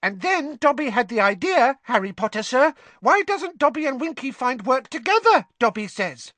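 A man voicing a small, eager male house elf who talks shrilly and excitably about himself in the third person. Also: very high-pitched, normal speed, dry recording, pitched above the performer's own voice, heavily acted. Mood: delighted. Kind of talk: audiobook character voice.

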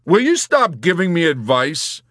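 A man's voice: medium pitch, lively intonation, normal speed, creaky, no echo, studio quality, pitched above the performer's own voice. A man voicing an exasperated young airman who is frantic, urgent and prone to snarling incredulously. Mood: urgent, irritated.

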